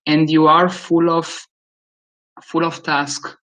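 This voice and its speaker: A man from Italy with a strong Italian accent, speaking English as a guest speaker in a webinar Q&A, with frequent pauses and restarts. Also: a little high, little pitch movement, pushed and bright, poor audio. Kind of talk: webinar Q&A answer.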